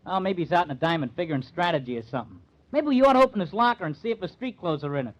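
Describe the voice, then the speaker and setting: A man's voice: a little high, lively intonation, racing, natural voice. A man playing an eager, cocky baseball hanger-on in an old-time radio crime drama, slangy and defensive. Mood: insistent. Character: eager, cocky male hanger-on, baseball team's number one fan. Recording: dull, band-limited old radio recording.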